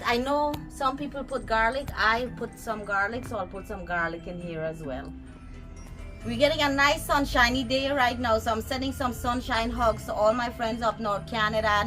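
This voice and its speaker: A woman from Guyana with a Guyanese accent, casually showing a cooking recipe outdoors and chatting with her viewers. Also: a little high. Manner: chatty, talks to viewers and pets. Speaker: cooking vlogger. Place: backyard outdoors.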